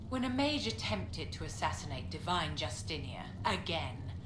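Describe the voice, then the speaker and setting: A woman playing a haughty, poised middle-aged court enchantress in a video game, speaking with elegant, measured, formal condescension. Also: sits low, big pitch swings. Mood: scornful, indignant. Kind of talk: scripted video game dialogue. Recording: roomy echo, clear background noise.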